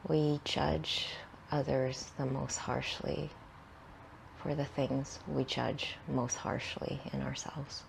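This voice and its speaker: A woman guides a meditation in a low-pitched voice thick with creaky fry. She speaks very slowly and soothingly, in short phrases that trail off softly and breathily between long pauses. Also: flat delivery, a little hiss or hum, low-quality recording.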